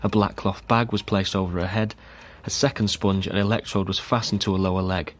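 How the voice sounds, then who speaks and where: An actor playing a sober man reading out a grim account in a measured, matter-of-fact way in a radio drama. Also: low-pitched, steady tone, lightly acted. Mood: solemn.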